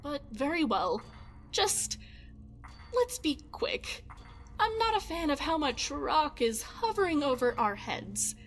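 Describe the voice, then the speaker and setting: Fantasy game companion , female actor: young, high-pitched, very expressive, wordy, wry, excitable. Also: slow pace, soft background music, full character voice. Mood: upset, indignant. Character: excitable, quirky young female adventuring companion, fretful and chatty.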